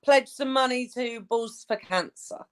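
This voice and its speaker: A woman's voice: fairly high pitch, measured pace. A woman speaking casually as a guest on a chat show.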